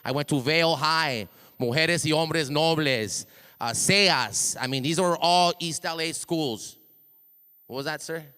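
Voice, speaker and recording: A middle-aged man from East Los Angeles, a ring announcer with a loud hype-man voice, giving a motivational talk to students over a handheld mic, repeating and restarting words as he goes. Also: fairly high pitch, unhurried, smooth. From East Los Angeles, USA. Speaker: ring announcer speaking at a school assembly. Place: school auditorium. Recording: reverberant hall.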